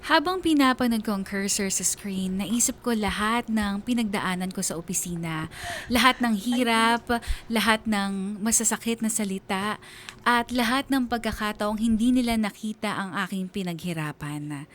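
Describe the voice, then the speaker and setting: A woman voicing a heartbroken letter writer in scripted first-person narration, reflective and emotional, with deliberate phrasing. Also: mid-range voice, a bit bright, slight background noise, close to an everyday voice. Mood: tender, sad.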